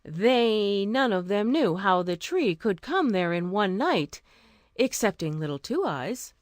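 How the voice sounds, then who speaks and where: A woman narrating a scripted fairy tale as a storyteller, expressive and deliberate. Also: mid-range voice, no room sound, clean studio sound.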